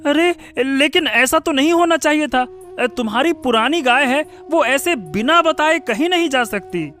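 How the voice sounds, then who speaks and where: A man's voice: extremely high pitch, animated, normal speed, pushed and bright, full character voice. Guy doing earnest village milkman servant for a cartoon story, bit of music behind. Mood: puzzled, alarmed. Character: earnest village cowherd, a male servant who delivers milk. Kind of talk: Hindi animated moral story acting.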